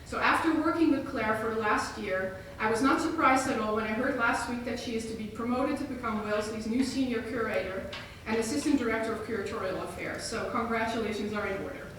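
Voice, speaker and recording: A woman introduces a lecturer in a museum hall, her voice set a little high. She is heard from well away from the mic, with a strong echo off the room. A steady hiss of noise sits behind her. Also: a little fast. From Netherlands. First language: Dutch. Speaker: curator introducing a speaker. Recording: very echoey hall, voice from far off, audible noise.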